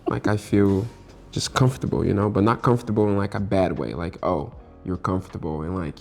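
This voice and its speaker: Laid-back young man, deep gravelly voice. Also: steady tone, a little fast, a little mellow, soft background music. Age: 20s. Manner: laid-back, brief replies. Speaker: co-host of couple channel. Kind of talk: casual chat.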